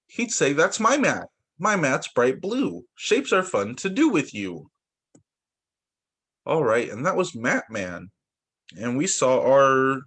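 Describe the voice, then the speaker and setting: A young man who teaches young children, reading picture books aloud in a sing-song, expressive way over a video call. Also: mid-range voice. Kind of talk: read-aloud story time for young children.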